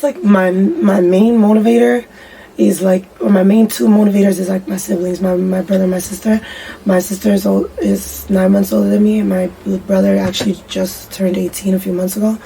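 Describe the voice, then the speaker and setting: A young woman with a raspy, mellow voice talks candidly through an interview, slangy and full of fillers. She sits a little back from the microphone, and faint noise hums underneath. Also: mid-range voice. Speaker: musician guest. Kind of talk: casual interview chat. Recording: distant mic with faint background noise.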